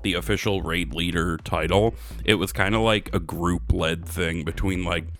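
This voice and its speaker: A man's voice: deep voice, fairly even intonation, moderate pace, a bit bright. A man chatting casually as a gaming podcast host, laid-back and a little apologetic, with loose rambling stories and casual swearing.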